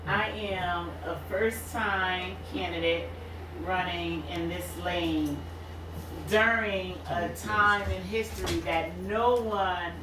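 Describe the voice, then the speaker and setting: A woman in her forties, a political candidate, speaking earnestly and at measured length as a guest on a radio show. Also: fairly low pitch, steady tone, echoey room, distant mic, background noise. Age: 40s. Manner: earnest, measured, speaks in long reflective runs. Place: radio studio.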